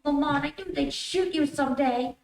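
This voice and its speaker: A woman's voice: high-pitched, normal speed, gravelly, a little mellow. A woman playing an anxious, determined young lady in a 1930s radio drama, speaking with fretful, insistent urgency. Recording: roomy, distant-miked stage recording.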